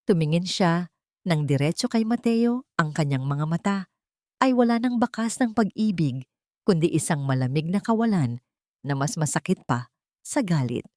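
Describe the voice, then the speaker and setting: Mellow Filipino woman narrating with lively pitch. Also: moderate pace, no room sound, studio quality. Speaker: storyteller. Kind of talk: dramatic scripted audio story narration.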